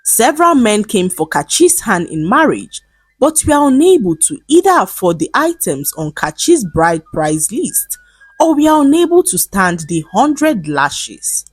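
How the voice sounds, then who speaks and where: A woman storyteller reading a scripted folktale in a measured, dramatic storybook manner. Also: fairly high pitch, sing-song, breathy edge, dry recording, soft background music.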